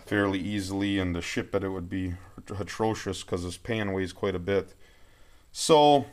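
A man with a low voice talks through a hands-on project in a plain, folksy way. He explains things informally and without hurry, recorded on a handheld camera in a workshop. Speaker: amateur tractor rebuilder presenting a project update.

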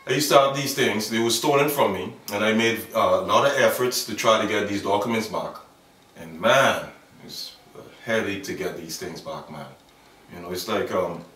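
A man's voice: fairly low pitch, a bit bright, distant mic. A man in his thirties with a Bahamian accent, speaking earnestly in an interview and stopping often to restart his sentences. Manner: earnest, halting, with many fillers and restarts. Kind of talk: documentary interview. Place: outdoors by a wall in a yard. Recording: echoey, off-mic camera sound.

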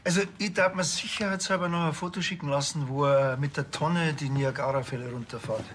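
A man's voice: a little high, brisk, fairly bright tone, a little hiss or hum, natural voice. An actor playing a wry, sardonic village policeman with a Bavarian accent, speaking dryly in a TV crime comedy. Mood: dry, scheming. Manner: dry, laconic, sarcastic.

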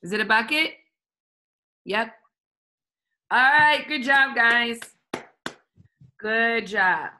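A woman's voice: on the high side, extremely slow, long pauses, pushed and bright, slight background noise. A woman leading a children's lesson on a video call, chatty and encouraging. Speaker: children's program leader. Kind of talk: casual hosting of a kids' video call. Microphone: laptop on a video call.

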